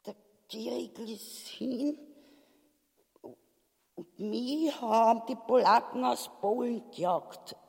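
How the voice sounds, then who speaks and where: An actress playing a brash old wife of a tyrant in a farcical radio play, creaky-voiced. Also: female voice, a little high, takes it slowly, pauses often, exaggerated voice. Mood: scornful. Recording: reverberant radio play sound.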